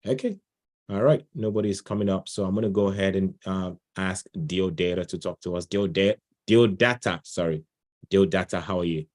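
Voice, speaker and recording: A man's voice: sits low, normal speed, darkish tone. A man moderating an online session in an informal, conversational and chatty way, full of thanks and encouragement. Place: online video call.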